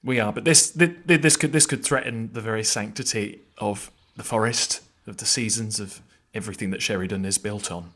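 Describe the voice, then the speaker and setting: A man's voice: on the low side, brisk, hardly any pauses, a bit bright. A man improvising roleplay and table talk as a player in a tabletop game.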